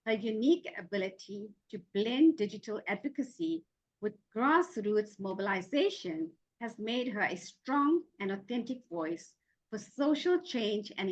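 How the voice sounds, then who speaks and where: Lively, upbeat woman talk host. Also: mid-range voice. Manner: upbeat, enthusiastic, polished. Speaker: show host. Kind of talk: interview host introduction. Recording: slightly dull lo-fi sound.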